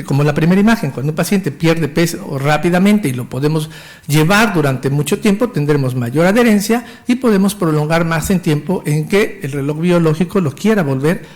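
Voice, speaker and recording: A man in his fifties with a Mexican Spanish accent lectures on medicine in a high, bright voice. He talks eagerly and technically, and sometimes stumbles and restarts a word before going on. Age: 50s. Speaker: physician lecturer.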